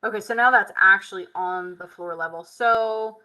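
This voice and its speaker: Hoarse, chatty woman teaching software live. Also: mid-range voice, moderate pace, low-quality recording. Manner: chatty, Southern "y'all", muttering while working. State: tired, hoarse voice. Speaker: software tutorial host. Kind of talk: live screen-share tutorial, thinking aloud.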